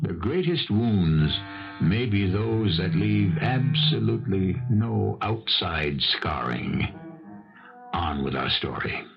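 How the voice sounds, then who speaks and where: A man narrating as the host of an old radio mystery series, theatrical, wry and portentous, weighing each phrase deliberately. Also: deep voice, expressive, gravelly. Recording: muffled old radio broadcast. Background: music.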